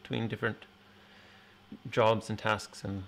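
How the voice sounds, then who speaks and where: A man in his thirties hosting a casual interview, putting relaxed questions to his guest. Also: lowish voice, a little slow, gravelly. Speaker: host interviewer. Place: indoor coffee bar set.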